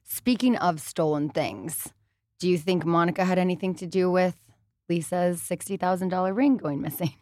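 A woman's voice: lowish voice, fairly even intonation, normal speed, a little mellow, dry recording. A woman hosting a studio interview, chatty and encouraging. She often answers with short affirmations. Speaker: podcast host. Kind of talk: casual interview chat.

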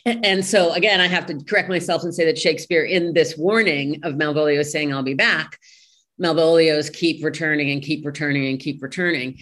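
Low-pitched woman, halting and thoughtful. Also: fairly bright tone. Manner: halting, searching for words. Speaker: interview guest, play translator. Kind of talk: thoughtful interview discussion.

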